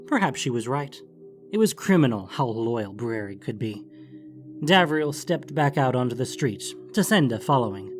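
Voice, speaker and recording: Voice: mid-range voice, sing-song, normal speed, music in the background. A narrator reading a scripted fantasy story for an audiobook.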